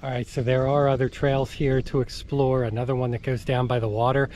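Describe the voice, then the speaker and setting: A man's voice: mid-range voice, flat delivery, a little fast, a little mellow, a little hiss or hum. A middle-aged man casually narrating a hike outdoors, chatty and easygoing, reading signs aloud as he walks. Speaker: hiking vlogger. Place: outdoors on a farm by a bay.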